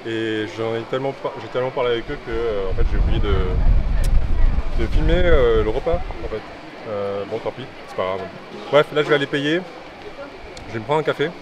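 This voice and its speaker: A man's voice: mid-range voice, brisk. A young man vlogging casually while travelling, talking in a chatty way with many fillers and restarts. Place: busy city street outdoors. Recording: loud street noise. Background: loud traffic and street noise.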